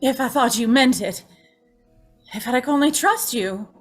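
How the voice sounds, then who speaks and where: Woman acting a high-pitched, tormented young woman. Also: normal speed, soft background music. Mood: desperate, pleading. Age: young adult. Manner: pleading, tremulous, dramatic. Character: tormented, frightened, gentle young woman confiding in mother. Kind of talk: old-time radio horror acting.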